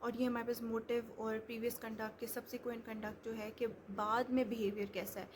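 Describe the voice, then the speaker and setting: Higher-voiced woman, lecturing on law, lots of ums and restarts, noisy background. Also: little pitch movement, brisk. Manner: explains step by step, many hesitations and restarts. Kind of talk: explanatory lecture.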